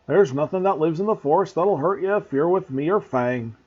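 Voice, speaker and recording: A man doing a booming gruff gamekeeper. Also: lively intonation, brisk. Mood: earnest. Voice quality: gruff, growling, loud, rustic speech. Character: huge gruff rough-spoken male gamekeeper. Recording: dull lo-fi recording.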